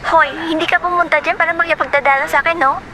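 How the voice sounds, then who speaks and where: A woman's voice: extremely high pitch, fairly even intonation, fast talker, noisy background. A woman playing a sassy, frank young best friend in a Filipino audio drama, breezy and chiding.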